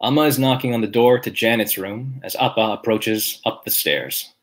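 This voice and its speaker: A man's voice: medium pitch, normal speed. Man reading stage directions. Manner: plain, even narration. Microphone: video call.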